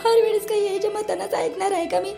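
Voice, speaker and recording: A woman's voice: extremely high pitch, steady tone, quick pace, creaky, music in the background. A woman voicing an outspoken, indignant young wife in a cartoon, arguing back in a Vidarbha Marathi accent. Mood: tearful, hurt. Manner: argumentative, rhetorical questions. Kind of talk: cartoon voice acting.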